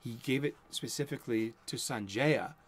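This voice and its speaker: A man's voice: mid-range voice, normal speed, slightly rough. A man in his forties with a Boston accent, giving a casual spoken sermon from a cluttered home room, rambling and interrupting himself as he talks. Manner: rambling, self-interrupting, mumbles and speeds up when excited. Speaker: host.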